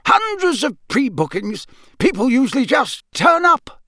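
A man's voice: very high voice, big pitch swings, unhurried, sharp tone, no echo, clean studio sound, pitched above the performer's own voice, full character voice. A man voicing a blunt, suspicious rural campsite keeper in an audiobook, nosy, folksy and plain-spoken. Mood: musing.

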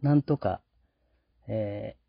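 Man, host, husky, polite, reflective, halting, close dry dull sound. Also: lowish voice, dry recording. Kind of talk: casual podcast sign-off monologue.

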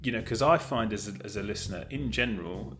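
A man's voice: lowish voice, fast, music in the background. A man talking casually as an interview guest about producing audio drama.

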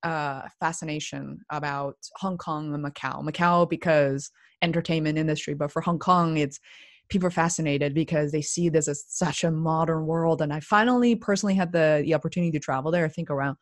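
Chatty woman host, low voice, rambling casual questions, dry close sound. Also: fairly even intonation, brisk, no room sound. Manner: chatty, rambling, restarts often. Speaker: interviewer. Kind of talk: casual conversational interview.

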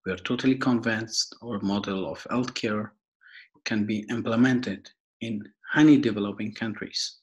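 A young man from Afghanistan with an Afghan accent, giving a prepared podcast introduction in a formal, halting way, often repeating and rephrasing. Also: on the low side, poor audio. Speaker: podcast host and foundation worker.

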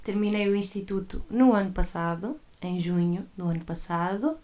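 A young woman in her late twenties speaks with a northern Portuguese Porto accent. She is a podcast guest, chatty and quick to joke, and her voice carries some tiredness. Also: medium pitch, dark tone. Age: 20s. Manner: chatty and joking, laughs easily. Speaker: guest, history teacher. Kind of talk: casual podcast interview.

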